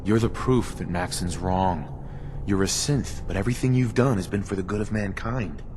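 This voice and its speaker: An actor playing a loyal, stubborn soldier hero in a video game, earnest and plain-spoken. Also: male voice, low voice, normal speed, background noise, close to an everyday voice. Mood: troubled, refusing. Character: loyal male soldier, principled, stubborn defender of friend. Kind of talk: dramatic video game acting. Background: loud cinematic music.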